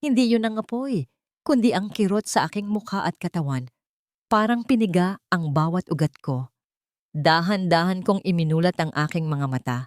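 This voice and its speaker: Female audiobook narrator, lower register, emotive and deliberate, dry close sound. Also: animated, a little mellow, no room sound. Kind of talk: dramatic scripted first-person story narration.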